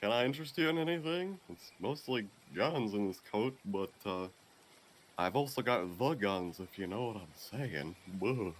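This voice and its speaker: Man putting on an awkward shady merchant, animated delivery. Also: on the low side, normal speed, slight background noise, natural voice. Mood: awkward, flirty. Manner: hesitant, salesman-like. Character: shady, awkward, flirty male traveling merchant.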